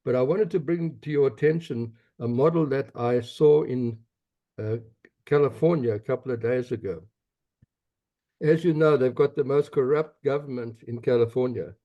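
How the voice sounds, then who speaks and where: A man from South Africa with a South African accent, speaking up as an attendee in an online meeting, earnest, with pauses and restarts. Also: medium pitch, lo-fi sound. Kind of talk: comment during online meeting.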